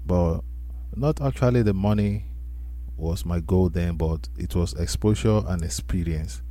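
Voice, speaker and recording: A man telling his own story as a musician guest on a radio show, speaking earnestly and unhurriedly with plain phrasing and pauses. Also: sits low, dark tone.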